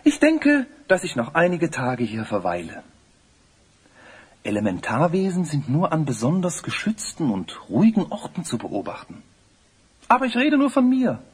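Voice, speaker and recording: A man playing a polite, self-important young student with a husky voice and stilted courtesy in a fantasy audio play. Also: a little high, wildly swooping pitch, normal speed, full character voice. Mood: earnest, scholarly. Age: young adult.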